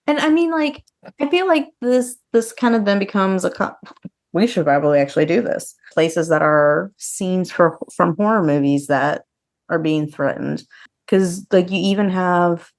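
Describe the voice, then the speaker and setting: A woman's voice: lowish voice, moderate pace. A woman co-hosting a podcast, chatting casually about horror news in a conversational way peppered with filler words. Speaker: podcast co-host.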